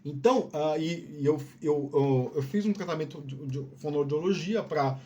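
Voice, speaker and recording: A man's voice: a little high, normal speed, darkish tone, slight background noise. A man giving casual career advice as a video host, informal and digressive, often repeating words and checking in with the listener. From Piauí, Brazil.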